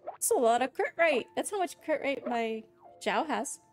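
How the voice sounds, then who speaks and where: A woman's voice: high-pitched, animated, moderate pace. A young woman doing casual live gameplay commentary as a streamer, wry, rambling and playful. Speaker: game streamer. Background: game music.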